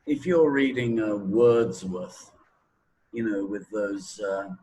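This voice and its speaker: A man of sixty, a native English speaker, explaining his views in an interview in a halting, deliberate way, as if thinking aloud. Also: fairly low pitch, a little mellow, poor audio. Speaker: teacher trainer in reading, interview guest. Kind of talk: interview talk, explaining opinions.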